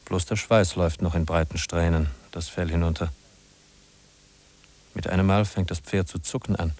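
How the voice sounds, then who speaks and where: A man's voice: low-pitched, fairly even intonation, moderate pace, darkish tone. A man acting as the narrator of a 1950s German radio drama, an urgent, musing guide who addresses the listener with emphatic rhetorical questions. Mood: grave, intent. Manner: rhetorical, emphatic, questioning then answering himself.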